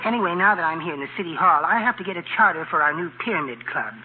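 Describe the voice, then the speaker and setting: A man's voice: fairly high pitch, animated, very fast, close to an everyday voice. An actor with an American accent playing a meek, naive, henpecked little man in an old radio comedy, prim and mild. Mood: sheepish. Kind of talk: 1940s radio comedy acting. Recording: tinny, hissy old radio broadcast.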